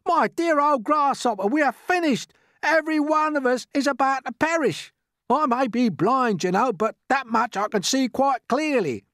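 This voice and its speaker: A miserable, snappish male earthworm from a storybook. The voice leaps high and swings dramatically as it wails and grumbles that all is lost, in a dry, close sound. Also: lively intonation, normal speed, fairly bright tone, no echo. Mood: gloomy alarm. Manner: dramatic, wailing complaint. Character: gloomy, irritable blind male earthworm, forever prophesying doom. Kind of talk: storybook character voice.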